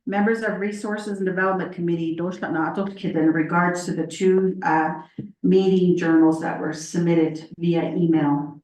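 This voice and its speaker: A woman chairing a committee meeting, moving through the agenda in a measured, procedural way with frequent fillers. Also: on the low side, steady tone, darkish tone. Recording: echoey meeting room.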